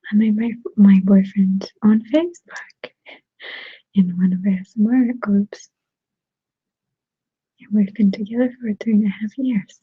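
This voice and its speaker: A woman with a Russian accent chats casually in a soft, breathy whisper, gently answering viewers on an ASMR stream with halting pauses, close to a sensitive microphone. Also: medium pitch, steady tone, dry recording, phone-quality sound. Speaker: ASMR creator hosting a live stream.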